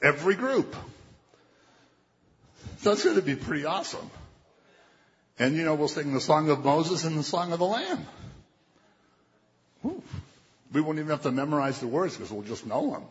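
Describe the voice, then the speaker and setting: A man's voice: a little high, sing-song, normal speed, pauses often. A man preaching a sermon in a conversational way, quoting scripture and acting out what people would say. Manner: conversational preaching, quotes scripture, acts out voices. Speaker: pastor. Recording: dull, muffled sound with long hall reverb.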